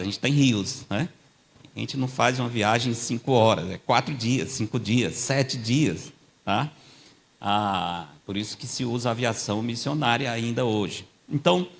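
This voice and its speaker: Older male guest preacher, mid-pitched, conversational storyteller who lifts his voice on key scripture points. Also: creaky. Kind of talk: sermon with storytelling. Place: church.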